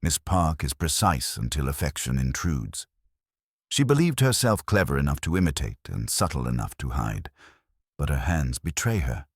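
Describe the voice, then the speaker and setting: A man's voice: extremely low pitch, big pitch swings, normal speed, breathy edge, dry recording, studio quality, heavily acted. A man voicing a stern, ponderous older master detective in an audiobook mystery, with formal, precise diction. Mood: pronouncing, certain. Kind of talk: audiobook mystery narration dialogue.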